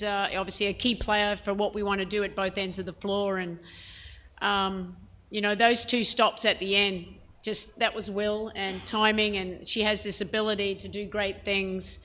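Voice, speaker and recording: A woman head coach answering reporters' questions at a press conference, frank and measured, with plenty of "um" and "you know". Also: mid-range voice, pushed and bright. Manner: frank, measured, many fillers.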